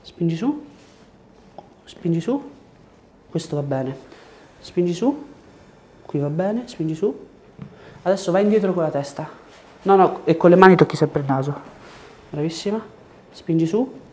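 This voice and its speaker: A man, working as a chiropractor and giving short, gentle instructions and questions during an exam. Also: on the high side, animated, a little slow, pauses often. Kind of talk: hands-on exam, instructing a patient. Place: small treatment room indoors.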